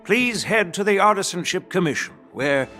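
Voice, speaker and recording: An actor playing a dignified elderly grandfather and general in a video game, formal, measured and authoritative. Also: high voice, lively intonation, bright, forceful tone, faint music behind. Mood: solemn, formal.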